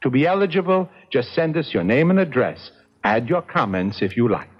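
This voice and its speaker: A man presenting a 1970s radio announcement as the series producer, speaking deliberately to listeners. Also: mid-range voice, animated, slightly rough. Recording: dull vintage 1970s radio recording.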